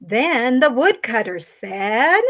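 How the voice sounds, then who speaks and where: A woman's voice: fairly high pitch, very animated, slow pace. A woman teacher narrating a children's storybook tale from a script.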